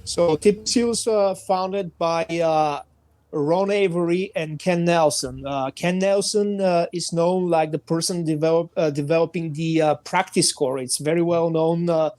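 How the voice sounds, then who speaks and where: A man with a Bulgarian accent, his voice pitched a little high for a man, speaking on a podcast as a guest instructor. He pauses a lot with "uh" while he searches for words. The sound is dry and close. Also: fairly even intonation, darkish tone, no echo. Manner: many hesitations, frequent "uh". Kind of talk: podcast interview.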